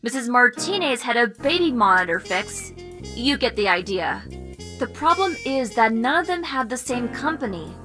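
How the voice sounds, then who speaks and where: A woman playing a confident, teasing young hacker sidekick who explains things briskly in an audio drama. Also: a little high, sing-song, pushed and bright, faint music behind. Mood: confident, explaining. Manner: brisk, explanatory, self-assured. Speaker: voice actor.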